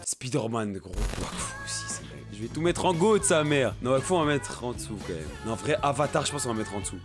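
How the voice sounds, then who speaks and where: Young man chatting over loud music. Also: medium pitch. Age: young adult. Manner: slangy, repetitive, informal. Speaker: YouTuber ranking childhood cartoons. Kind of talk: casual reaction commentary.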